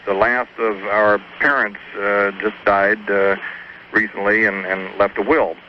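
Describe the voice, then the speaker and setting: A man calling a radio advice show by phone, explaining his problem in a hesitant, halting way. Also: lowish voice, flat delivery. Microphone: telephone. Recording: dull, noisy phone call on radio.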